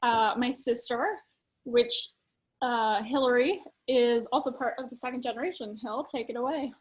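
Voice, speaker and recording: A woman's voice: on the high side, moderate pace. A young woman hosting a virtual wine tasting in a friendly, chatty way with fillers. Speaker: host.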